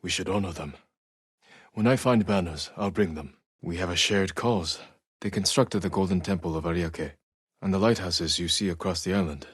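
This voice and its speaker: An actor playing a stoic, honorable samurai warrior in a video game, with restrained, solemn, reflective delivery and a soft-edged husky voice. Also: male voice, low voice, moderate pace, no echo, clean studio sound. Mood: solemn, earnest. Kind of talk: dramatic video game acting, reflective monologue.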